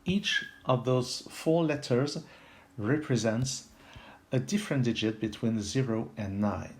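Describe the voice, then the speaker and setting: A man from France with a French accent, giving an unscripted puzzle tutorial in a halting, step-by-step way, thinking aloud. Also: mid-range voice. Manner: halting, pauses mid-sentence, explains step by step. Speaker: puzzle video host.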